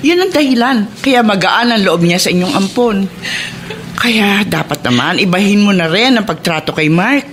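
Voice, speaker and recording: A woman's voice: mid-range voice, animated, normal speed, natural voice. A woman playing an older mother in a Filipino radio drama, coaxing and admonishing with emphasis. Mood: earnest persuasion. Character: older mother gently reproving her grown daughter.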